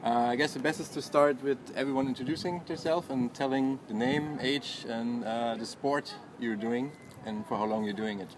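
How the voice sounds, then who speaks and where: Man moderating amid loud background noise. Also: mid-range voice, moderate pace, few pauses. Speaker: panel moderator. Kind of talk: informal moderating of a discussion. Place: live panel discussion at a sports festival. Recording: very noisy event, vehicle sounds behind.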